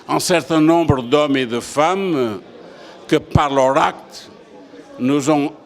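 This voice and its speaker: An older man from Portugal, president of an association, speaking in a measured, deliberate interview. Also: a little high. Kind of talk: interview statement.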